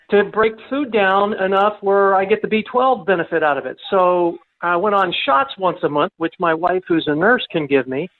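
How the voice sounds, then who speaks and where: A man giving a customer testimonial in a plain, matter-of-fact way. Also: high voice. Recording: tinny phone-line recording.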